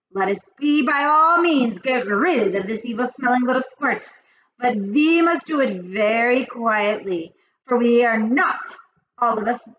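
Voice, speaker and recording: A woman voicing the cruel, boastful leader of witches in a storybook, with a commanding, grandiose and drawn-out delivery. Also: on the high side, muffled recording, voice pushed up. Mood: scornful, commanding. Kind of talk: storybook character voice.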